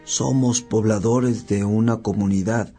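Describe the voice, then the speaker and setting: A man's voice: fairly low pitch, steady tone, measured pace, warm tone. A man acting as a poor, hungry villager in a radio drama, speaking humbly and plainly for the destitute. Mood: weary, pleading. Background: music.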